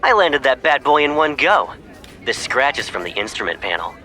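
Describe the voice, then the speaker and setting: A man's voice: medium pitch, sing-song, normal speed, sharp tone. An actor voicing a cocky, playful young male pilot who teases his childhood friend, in video game style. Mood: boastful storytelling. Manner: playful, teasing, boastful. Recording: background music under the voice. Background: music.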